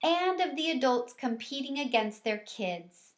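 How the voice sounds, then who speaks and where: A woman's voice: high voice, expressive, unhurried, voice pushed up. A woman voicing eager, curious primary school children in a storybook, with a questioning manner.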